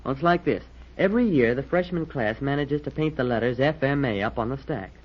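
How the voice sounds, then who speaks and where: A man playing a fast-talking, keen young cadet. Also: mid-range voice, animated, close to an everyday voice. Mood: keen, insistent. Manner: boyish, emphatic, explanatory. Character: keen, earnest young male cadet named Lee. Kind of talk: old-time radio drama acting. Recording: muffled vintage radio recording.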